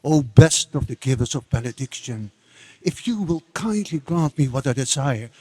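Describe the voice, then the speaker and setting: A man's voice: mid-range voice, expressive, moderate pace, a little mellow, husky. A man playing an ambitious demon king in a devotional dramatic reading, pleading formally and emphatically for power. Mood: reverent pleading. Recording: hall with long reverb.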